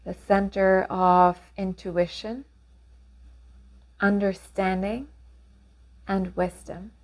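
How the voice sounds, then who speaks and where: Female meditation guide, mid-pitched voice, very slow calm delivery, gently instructive, generous pauses. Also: low-quality recording.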